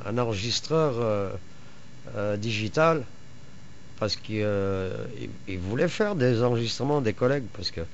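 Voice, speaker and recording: A man with a fairly low voice talks casually as a radio amateur. He proceeds haltingly, often searching for his next word. The recording is a little dull, with faint noise behind him. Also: expressive. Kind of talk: casual chat over radio.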